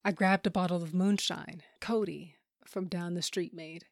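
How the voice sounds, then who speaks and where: Audio drama lead: woman acting a bitter, grief-broken widowed monster hunter, low-pitched, intense, very dry close sound. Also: fairly even intonation, normal speed, dry recording, close to an everyday voice. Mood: bitter, numb. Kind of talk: first-person audio drama narration with acted scenes.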